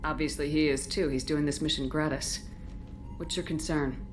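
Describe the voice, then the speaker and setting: An actress playing a determined military spaceship commander in a video game, speaking in a direct, businesslike way. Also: female voice, low-pitched, steady tone, moderate pace, over music, close to an everyday voice. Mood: questioning, frank. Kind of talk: video game cutscene acting.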